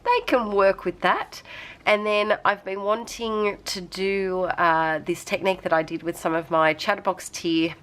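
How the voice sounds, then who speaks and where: Slow-talking young woman with a cold. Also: mid-range voice, steady tone, a bit bright. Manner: chatty, rambling, many fillers. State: coming down with a cold. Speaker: craft channel host. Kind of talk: casual craft tutorial narration. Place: home craft desk.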